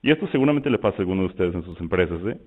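Low-voiced Mexican man on a tinny line. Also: lively intonation, very fast, breathy edge. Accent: Mexican Spanish. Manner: loose and conversational, many fillers and restarts. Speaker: software presenter. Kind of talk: webinar product walkthrough.

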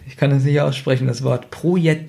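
A man's voice: medium pitch, steady tone, moderate pace, vocal fry, dark tone. A man co-hosting a podcast, casually giving his opinions in conversation. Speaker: podcast co-host.

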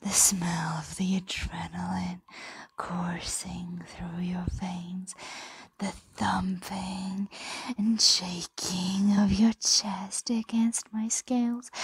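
A woman performs a huge ancient female dragon in an ASMR roleplay, possessive and teasing. Her whisper is close and dry, with a creaky rasp at its edges. She lingers over her words, doting and predatory at once. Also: fairly high pitch, dry recording, exaggerated voice, low-quality recording. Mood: intoxicated, possessive. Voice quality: breathy whisper.

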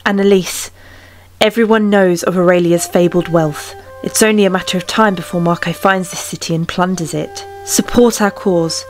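A resolute young warrior queen played by a woman with a lowish voice. She is proud, formal and measured, a fantasy game heroine with music behind her. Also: fairly even intonation, natural voice. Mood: determined. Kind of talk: fan dub voice acting of a fantasy story game.

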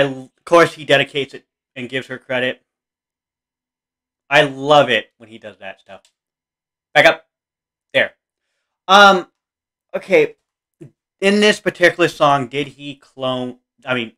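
An American man in his thirties giving casual reaction commentary in a halting, repetitive, earnest way. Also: on the high side, bright, forceful tone. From Oregon, United States. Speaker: reaction video host. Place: small room indoors.

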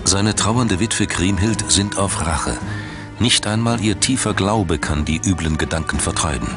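Male narrator, very low voice, measured and solemn scripted documentary read over prominent music. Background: background music.

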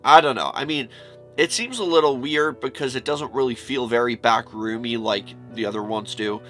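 A man's voice: medium pitch, normal speed, fairly bright tone, soft background music. Nasal, congested man vlogging. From New York, US. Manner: chatty, rambling with fillers. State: congested, stuffy nose. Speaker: YouTube reaction host. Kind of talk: casual reaction vlog. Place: small room full of horror collectibles.

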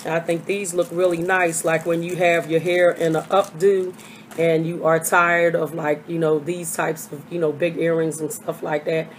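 An older woman, hoarse from a cold, chatting casually through a haul video at her webcam with lots of fillers. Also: deep voice, flat delivery, normal speed, a little hiss or hum, rough recording. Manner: chatty, rambling with many fillers. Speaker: vlogger. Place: small bedroom. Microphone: webcam at desk.

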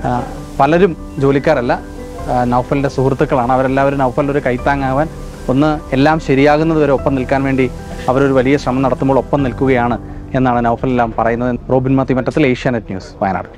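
A male reporter in his thirties speaks into a handheld mic outside a restaurant, rattling off his report at a quick clip. Faint music sits under the piece. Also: mid-range voice, steady tone, a little mellow. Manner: rapid, unscripted piece to camera. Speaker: field reporter.

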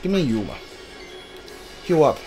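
Man, live gameplay commentary, thinking aloud, fairly slow delivery, faint music behind. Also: on the high side, very animated. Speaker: game streamer.

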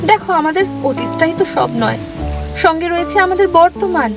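An actress playing a young newlywed wife who speaks earnestly and pleadingly in an audio drama. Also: female voice, very high-pitched, lively intonation, normal speed, music in the background.

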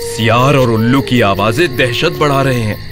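A man's voice: mid-range voice, moderate pace. A man narrating a scripted story. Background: light music.

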